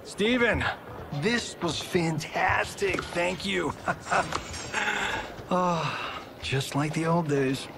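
An actor playing a cocky, vain fading martial arts film star in a video game, smug and wisecracking. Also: male voice, high voice, extremely slow, creaky, long pauses, noisy background, exaggerated voice. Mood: excited, upbeat. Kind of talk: cinematic video game acting.

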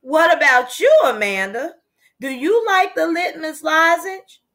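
A woman reading the part of a kindly, wise older female librarian in a storybook, measured and gently explaining. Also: high voice, voice pushed up. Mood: gently curious. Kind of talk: storybook character read aloud.

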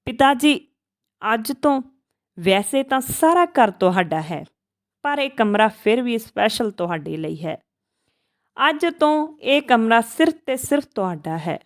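A woman voicing a kind, remorseful middle-aged man in a story, speaking earnestly and respectfully in halting phrases. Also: on the high side, very animated. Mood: warm, respectful.

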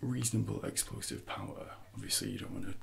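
A man in his thirties speaking softly and breathily in an ASMR roleplay as a personal trainer, often slipping into whispers while instructing. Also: on the low side, fast talker, gravelly. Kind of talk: soft-spoken ASMR roleplay.

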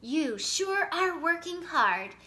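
A woman's voice: extremely high pitch, sing-song, slow, bright, forceful tone, pitched above the performer's own voice, heavily acted. A woman voicing an upbeat, encouraging male cartoon superhero in a storybook, with emphatic delivery.

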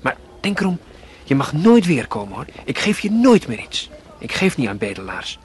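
A gruff old street-stall keeper, kindly underneath, as a male storyteller puts him on. He speaks higher and louder than the narration around him, now pressing, now dropping to a hush. Read-aloud radio story. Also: wildly swooping pitch, moderate pace, a little hiss or hum. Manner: gruff, urging, sometimes hushed.

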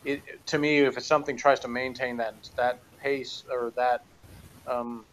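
A man's voice: mid-range voice, flat delivery, moderate pace, a little hiss or hum, rough recording. A man chatting casually at his home desk, wearing headphones, reviewing an audio drama in a rambling, self-deprecating way with lots of restarts. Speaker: show co-host. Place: home room with bookshelves, indoors. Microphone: headphones on, desk camera.

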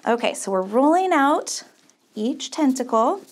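Female children's craft host, middle-aged, high-pitched, encouraging, upbeat, speaking directly to kids. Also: very animated, measured pace. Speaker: children's camp counselor host. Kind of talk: children's craft tutorial presenting. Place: small studio set, indoors.

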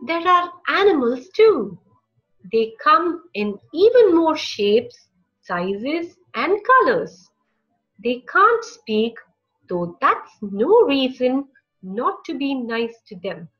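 High-pitched woman reading a children's picture book. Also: sing-song, slow pace, highs cut off. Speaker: children's storybook narrator. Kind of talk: read-aloud picture book narration for young children. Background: music.

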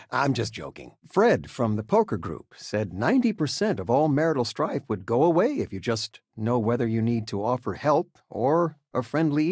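Retired teacher dad for a game: older man, lively intonation, husky, fond and gently joking, dry close sound. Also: medium pitch, no echo. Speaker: voice actor. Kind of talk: video game character dialogue.

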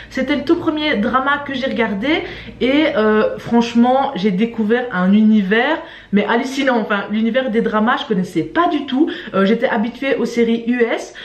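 High-voiced young Frenchwoman vlogging, roomy sound. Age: young adult. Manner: informal, expressive, emphatic repetition. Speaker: YouTube vlogger presenting to camera. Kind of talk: casual chatty vlog. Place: small room at home. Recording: roomy indoor echo.

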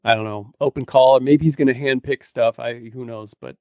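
A man's voice: medium pitch, steady tone, brisk, warm tone, dry recording. A middle-aged man co-hosting a podcast, chatting in a laid-back, colloquial way into a close mic. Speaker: podcast co-host. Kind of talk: casual chat. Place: small cluttered home room. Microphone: close studio mic on boom arm.

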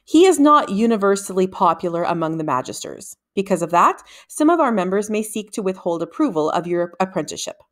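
A brusque woman wizard from a storybook, read by a female narrator, grumbling and cautioning. She speaks deliberately. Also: medium pitch, big pitch swings, natural voice.

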